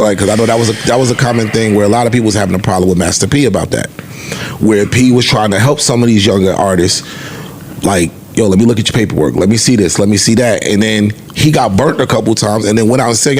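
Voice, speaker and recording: A man's voice: lowish voice, quick pace, slight background noise. A man in casual podcast panel talk, explaining his points in a conversational way. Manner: explanatory, quotes others conversationally.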